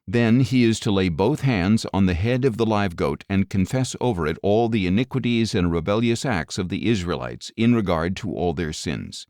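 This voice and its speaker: Low-voiced man reading scripture. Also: expressive, darkish tone, dry recording, studio quality. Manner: measured, formal reading. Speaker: audiobook narrator. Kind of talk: scripted scripture narration, audio Bible reading.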